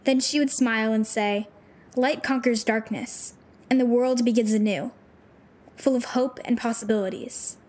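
An actress playing a troubled, disillusioned teenage runaway with superpowers in an audio drama, talking in halting, informal, youthful phrases. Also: female voice, a little high, a little mellow, close to an everyday voice. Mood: wistful, sad.

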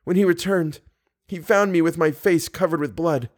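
A man's voice: a little high, moderate pace, pitched above the performer's own voice, natural voice. A man voicing a frightened captive young woman in an audiobook, breathless, urgent and pleading. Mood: anguished.

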